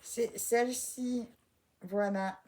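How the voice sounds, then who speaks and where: A woman's voice: on the high side, fairly even intonation, very slow, heavy background noise. A woman casually explaining an embroidery project to her co-host and viewers while she works. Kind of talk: casual how-to chat.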